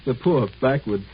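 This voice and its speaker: An actor playing a calm, superior imperial marshal in a 1950s radio drama, urbane and condescending in a measured way. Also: male voice, medium pitch. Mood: smooth, condescending. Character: calm, superior alien-born imperial marshal, secretly a genius agent. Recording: muffled, noisy 1950s radio recording.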